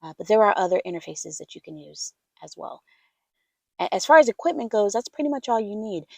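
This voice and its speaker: A soft-spoken woman giving casual advice as a voiceover coach, chatty and easygoing. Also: medium pitch, very animated, fairly quick, gravelly, dry recording. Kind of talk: casual instructional talk to camera.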